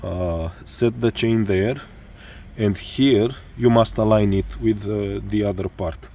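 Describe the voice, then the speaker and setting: Slow hesitant man, Romanian accent. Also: lowish voice, dark tone, slight background noise. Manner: halting, many fillers, searching for words. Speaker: motorcycle tutorial maker. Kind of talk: hesitant spoken tutorial.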